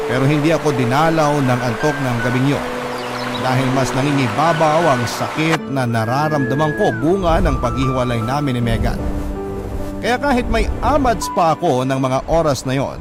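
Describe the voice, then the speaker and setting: A man's voice: mid-range voice, lightly acted. An actor playing a heartbroken young man who earnestly recounts his story in a radio drama, with music behind. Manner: earnest, confessional, addresses the host politely. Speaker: letter sender narrating.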